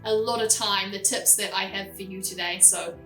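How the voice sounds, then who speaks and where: A woman's voice: mid-range voice, fairly even intonation, moderate pace, sharp tone, faint music behind. A young woman with a New Zealand accent, hosting a vlog and giving direct, practical, step-by-step tips. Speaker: host. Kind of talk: casual advice vlog. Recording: roomy and a bit distant from the mic.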